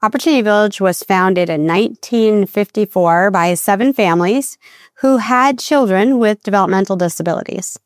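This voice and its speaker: A woman speaking as a nonprofit executive in an interview, explaining in a measured way. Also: mid-range voice, dry recording, studio quality.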